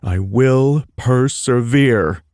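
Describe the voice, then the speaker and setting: A man's voice: fairly low pitch, big pitch swings, unhurried, darkish tone, no room sound, clean studio sound, voice pushed up. A man voicing a joking, guarded young college man. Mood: bantering. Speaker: college student.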